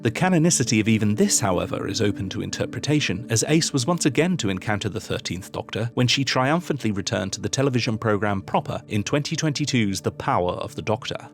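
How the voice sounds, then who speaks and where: Measured man narrating a scripted review. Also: lowish voice, animated. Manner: measured, formal, articulate. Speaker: video essay narrator.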